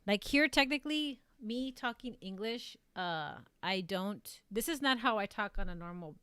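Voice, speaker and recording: Texas woman w mexican-american accent rambling on stream, lots of likes and ums, smooth clear voice, dry close mic. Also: mid-range voice, expressive, normal speed, sharp tone, dry recording. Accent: Mexican-American Texan. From South Texas, US. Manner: rambling, leans heavily on "like" and "um". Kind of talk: casual chat reading viewer comments.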